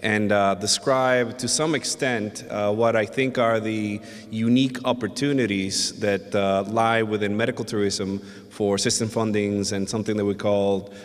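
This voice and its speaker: Low-voiced man presenting in a ballroom. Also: fairly even intonation. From Dominican Republic. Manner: measured, hesitant delivery with many filler uhs. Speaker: invited conference speaker, emergency physician. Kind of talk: conference presentation. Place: hotel ballroom at a summit. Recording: long reverb of a large ballroom.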